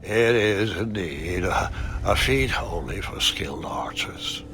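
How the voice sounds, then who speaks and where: A man playing a whimsical old grandfather who tells his adventures theatrically in a storybook-style game, with a gravelly voice. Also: low-pitched, animated, takes it slowly, a bit bright, a little hiss or hum, exaggerated voice. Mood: wry.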